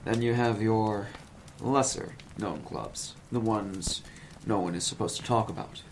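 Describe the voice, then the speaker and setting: A man's voice: lowish voice, a little slow, slight background noise. A man playing a nervous, evasive psychology professor who talks in a polished, wordy, lecturing way. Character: smooth, fussy male college professor, nervous and evasive.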